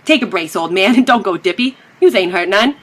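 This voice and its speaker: A woman's voice: medium pitch, lively intonation, a little fast, natural voice. A woman voicing a kindly male city policeman, slangy and reassuring, in a dramatic reading of an old story. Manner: slangy, reassuring, plain-spoken. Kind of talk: dramatic reading of early science fiction.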